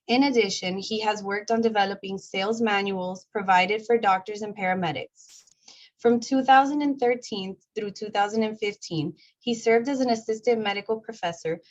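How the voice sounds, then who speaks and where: A woman nurse hosts an online session and reads a formal, scripted welcome and speaker introduction. Her delivery is smooth and even, at an ordinary pitch for a woman. The sound is slightly muffled and lo-fi, as from a video call. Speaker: nurse and host. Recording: dull lo-fi video call audio.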